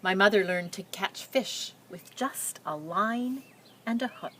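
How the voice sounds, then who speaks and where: A woman reading a picture book aloud, telling the story and pausing with simple explanatory asides for young listeners. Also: medium pitch, lively intonation, a bit bright, a little hiss or hum.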